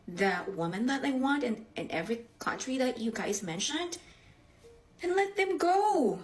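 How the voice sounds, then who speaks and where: A Filipino woman with a Filipino accent, English not her first language, arguing with exasperated rhetorical questions. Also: high-pitched. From Philippines. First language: a Philippine language. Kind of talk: ranting video reply.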